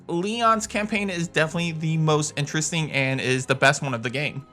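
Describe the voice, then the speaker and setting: A man's voice: on the high side, a bit bright. A man hosting a video countdown, giving scripted commentary on video games. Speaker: video host.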